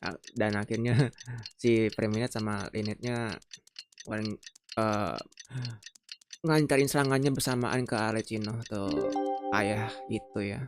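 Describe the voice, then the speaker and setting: Indonesian male gaming creator: low voice, informal, loosely worded commentary, light music underneath. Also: steady tone, normal speed, long pauses. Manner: informal, searching for words. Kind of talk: casual gameplay commentary.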